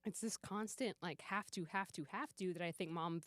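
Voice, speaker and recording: A woman in her forties chatting casually on a podcast, an animated storyteller who swears offhandedly and acts out dialogue. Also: on the low side, moderate pace, dry recording. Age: 40s. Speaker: podcast guest. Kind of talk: casual chat.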